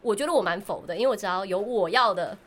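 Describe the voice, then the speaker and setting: A young woman with a Taiwanese accent chatting casually, self-deprecating and animated, as a podcast co-host. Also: mid-range voice, fast. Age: young adult. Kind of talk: casual chat.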